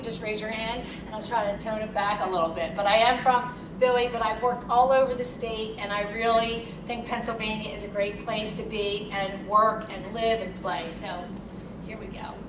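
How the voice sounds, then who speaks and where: A woman from Philadelphia delivers a chatty conference keynote in her Philly accent. Her voice sits a little high. The sound is distant and echoey, with noise in the room. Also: normal speed. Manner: lively, conversational and chatty. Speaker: keynote speaker. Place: conference hall. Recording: echoey hall, distant mic, noisy.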